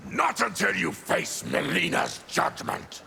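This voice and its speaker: A man voicing a savage, diseased mutant warrior and bitter former soldier in a video game, with a gravelly, menacing, forceful growl. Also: high-pitched, huge pitch swings, slow pace, a little hiss or hum, exaggerated voice. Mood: accusing. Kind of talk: video game fighting character dialogue.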